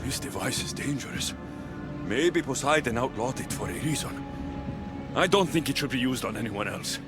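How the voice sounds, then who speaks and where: An actor playing a blunt, firm warrior hero serving as a judge in a video game. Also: male voice, medium pitch, expressive, normal speed, natural voice. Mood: stern. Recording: game soundtrack music underneath.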